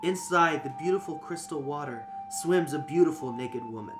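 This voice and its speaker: A man's voice: a little high, normal speed, over music. A man reading a fantasy novel aloud as narrator, in an informal scripted storytelling style. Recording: noticeable background noise.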